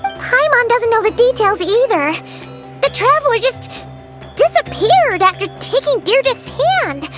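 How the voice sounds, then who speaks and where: A woman playing a tiny, excitable fairy companion in a video game, childlike and cutesy, speaking of herself in the third person. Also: extremely high pitch, unhurried, faint music behind, exaggerated voice. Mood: explanatory, puzzled. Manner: cutesy, refers to self by name.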